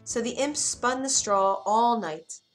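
A woman narrating a children's bedtime story in an expressive, gentle way. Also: on the high side, slow. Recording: soft music bed behind.

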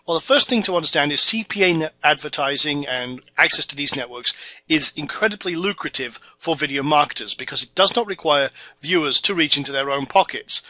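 A middle-aged man from the Orkney Islands with a Scottish accent narrates an online marketing course in a casual sales-pitch manner, with many fillers. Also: sharp tone, no room sound. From Orkney Islands, Scotland. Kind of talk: narrated slideshow course video.

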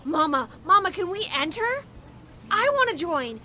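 A woman playing an eager, excitable little boy in an audio drama, exclaiming in a childlike way. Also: extremely high pitch, normal speed, a little hiss or hum, exaggerated voice. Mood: excited. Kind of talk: audio drama character acting.

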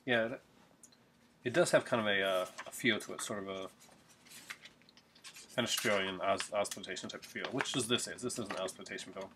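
A man's voice: fairly low pitch, fairly quick, long pauses, noisy background. A middle-aged man chatting casually in a home unboxing vlog, rambling with lots of fillers and restarts, recorded on a webcam at his desk. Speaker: collector unboxing a Blu-ray. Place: small home room, indoors.

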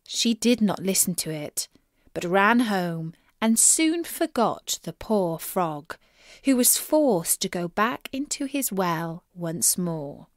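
A woman reading a fairy tale aloud to children in an expressive, deliberate storytelling manner. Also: fairly low pitch, dry recording, clean studio sound.

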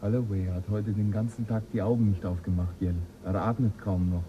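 A man's voice: fairly low pitch, fairly even intonation, a little fast, muffled recording, a little hiss or hum, natural voice. An actor playing a nervous spaceship crewman in a radio drama. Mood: uneasy. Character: crew member Millado, edgy and jittery. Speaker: astronaut.

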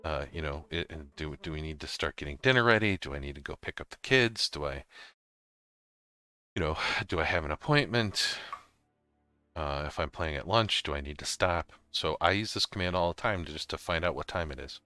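Deep, bright-voiced man giving a tutorial. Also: lively intonation, moderate pace, clear, resonant tone, no room sound, soft background music. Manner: informal, step-by-step explaining. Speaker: host of a software tutorial. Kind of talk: casual screen-recorded walkthrough.

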